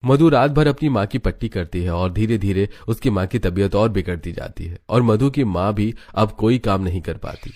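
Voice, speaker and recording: A man's voice: fairly low pitch, moderate pace, hardly any pauses, darkish tone. A man narrating a scripted story as its narrator.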